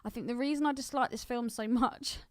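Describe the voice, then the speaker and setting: A woman's voice: fairly high pitch, fairly even intonation, a little fast, no echo, studio quality. A woman co-hosting a podcast, chatting casually about films in an opinionated, bantering way. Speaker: podcast co-host. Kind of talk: casual film discussion chat.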